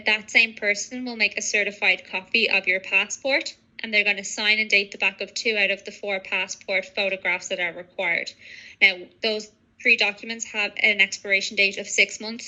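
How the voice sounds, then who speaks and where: A woman's voice: medium pitch, sharp tone, poor audio. A woman working as a legal adviser, methodically explaining procedures to a client on a professional call. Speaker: legal adviser on citizenship applications.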